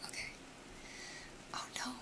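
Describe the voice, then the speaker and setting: A young woman with an American accent whispering casually to her live stream viewers, a little sniffly, close to the mic. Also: a little slow. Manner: soft whisper throughout, chatty, laughs often. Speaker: ASMR streamer chatting with viewers.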